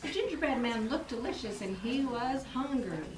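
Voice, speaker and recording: A woman's voice: on the high side, lively intonation, normal speed. A woman teaching young children through an interactive read-aloud, giving instructions, asking questions and repeating answers. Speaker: teacher leading a first grade class. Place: indoor classroom with children. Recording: echoey noisy classroom, distant mic.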